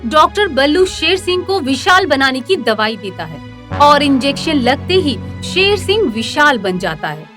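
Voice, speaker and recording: A woman narrating an animated story in a scripted, dramatic storytelling style. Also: sits high, unhurried. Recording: music under the voice.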